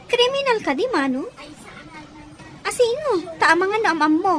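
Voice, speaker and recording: Actress as nosy young woman, very high animated voice, eager, questioning, music underneath. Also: normal speed. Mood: inquisitive. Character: curious, inquisitive young woman fishing for information. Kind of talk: amateur audio drama acting.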